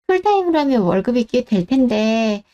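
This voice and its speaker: A woman's voice: fairly high pitch, sing-song, a little fast, dark tone, dull audio. A woman voicing a polite, deferential middle-aged daughter-in-law in a radio drama who speaks apologetically.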